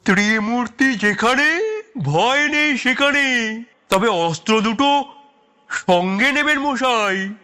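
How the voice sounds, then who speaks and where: High-pitched boastful comic sidekick. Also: male voice, expressive, bright, forceful tone, heavily acted. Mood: eager bravado. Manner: drawn-out, theatrical bravado. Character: comic, grinning, boastful male sidekick of a detective. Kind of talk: audio story character voice.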